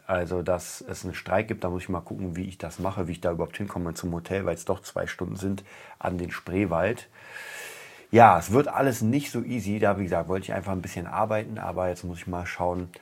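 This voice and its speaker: A man's voice: low-pitched, steady tone, fairly quick, a little mellow, slightly rough. A man with a cold and a stuffy nose, hosting his own podcast with a casual weekly update. He chats along and repeats words for emphasis. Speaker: podcast host.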